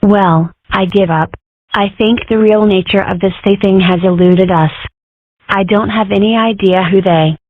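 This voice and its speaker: A woman's voice: mid-range voice, steady tone, moderate pace, no room sound, close to an everyday voice. A woman voicing an inquisitive, dramatic young cartoon girl in a comic strip dub, with wry, theatrical musing. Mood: puzzled. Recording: muffled phone-like audio.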